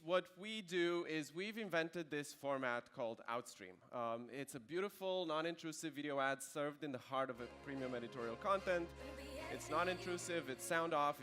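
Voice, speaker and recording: A man's voice: a little high, sharp tone. A man in his thirties with a Romanian accent, giving a conversational conference talk with dry asides. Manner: conversational with dry jokes and fillers. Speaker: conference speaker. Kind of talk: keynote presentation.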